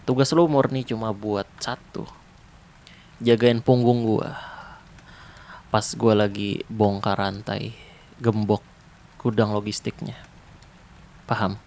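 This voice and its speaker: A man voicing a protective ex-special-forces survivor in a zombie apocalypse, talking softly and calmly in an ASMR roleplay. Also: on the low side. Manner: soft, calm, unhurried, intimate. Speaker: roleplay voice actor.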